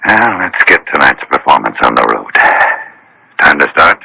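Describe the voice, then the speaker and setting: Gravelly deep-voiced grumbling newsman. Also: sing-song, moderate pace, bright, forceful tone, no room sound, exaggerated voice, low-quality recording. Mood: grumbling, wry. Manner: wry, complaining, casual. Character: grumbling newspaper photographer playing reluctant decoy. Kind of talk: radio drama acting.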